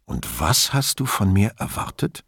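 A calm male investigator in an audiobook , played by a man reading aloud, with a creaky, gravelly edge. He questions quietly and patiently, measured and reserved, in a very dry sound. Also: low voice, dry recording, heavily acted. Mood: measured questioning. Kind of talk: audiobook character dialogue.

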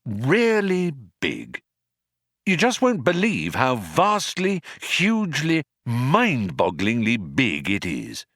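A man's voice: medium pitch, huge pitch swings, slow pace, a bit bright, no room sound, studio quality, exaggerated voice. A man voicing a chatty, awestruck galactic guidebook in an audiobook, gushing and emphatic in a conversational way.

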